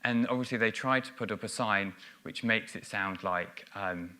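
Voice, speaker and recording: A man's voice: lowish voice, normal speed, pushed and bright, smooth. A man giving a business conference presentation on stage, explaining ideas with frequent ums and restarts. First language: English. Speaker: conference speaker.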